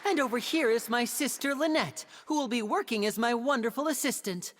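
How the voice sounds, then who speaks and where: Lady doing a young guy magician from a game, highish voice, theatrical and polite, echoey hall w noise. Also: brisk, natural voice. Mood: showy. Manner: theatrical, polite, smooth showman patter. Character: polished, showy young male stage magician. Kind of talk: video game voice acting.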